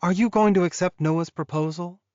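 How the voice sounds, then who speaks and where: A man voicing a bright, friendly young female wolf-shifter in an audiobook, breezy, informal and teasing. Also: high-pitched, normal speed, no echo, muffled recording, pitched above the performer's own voice, natural voice. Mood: frank.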